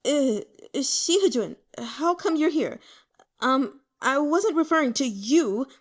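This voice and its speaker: A woman voicing an excitable, naive young man in an audiobook, whiny and indignant, rushing and stammering through his complaints. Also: high voice, pitched above the performer's own voice. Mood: startled, flustered. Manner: rushed, stammering, melodramatic complaining. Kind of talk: audiobook character voice.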